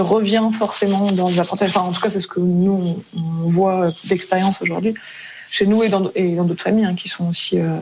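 A woman's voice: lowish voice, a little mellow, slight background noise. A French woman being interviewed as a parent, explaining casually in colloquial speech that often trails off into tag words.

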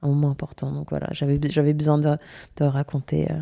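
Low mellow-voiced woman singer. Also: completely flat delivery, fast, vocal fry. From Brittany. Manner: hesitant, many fillers and restarts. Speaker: singer being interviewed. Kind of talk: casual interview answers.

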